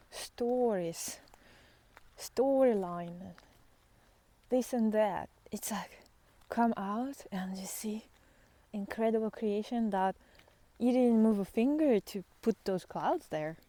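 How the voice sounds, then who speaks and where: A woman speaking off the cuff and reflectively on a walk outdoors, musing and halting, often trailing into breathy near-whispers. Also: medium pitch, dark tone, no room sound. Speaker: spiritual vlogger and guide. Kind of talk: unscripted reflective talk while walking in nature.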